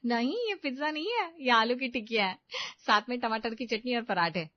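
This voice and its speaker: A woman voicing an anxious, sweet young daughter-in-law in an animated family story, often hurt and tearful. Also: on the high side, moderate pace, no echo, highs cut off, pitched above the performer's own voice, natural voice. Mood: hurt, defensive.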